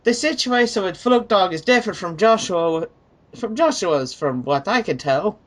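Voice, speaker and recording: Pompous, aloof online commenter played by a man: high affected voice, mock-dramatic reading. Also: lively intonation, moderate pace, fairly bright tone.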